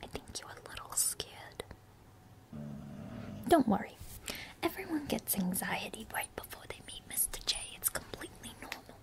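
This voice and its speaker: A woman playing a bossy, teasing young villain's sidekick as an exam assistant, in a breathy, whispered ASMR roleplay. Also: fairly high pitch, big pitch swings, normal speed, long pauses. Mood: teasing, soothing. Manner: coy, scolding, teasing.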